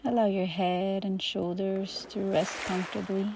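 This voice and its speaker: Slow, soothing woman guiding meditation. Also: medium pitch, little pitch movement, creaky, slight background noise, rough recording. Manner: slow, soothing, gentle pauses between phrases. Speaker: meditation teacher. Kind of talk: guided meditation.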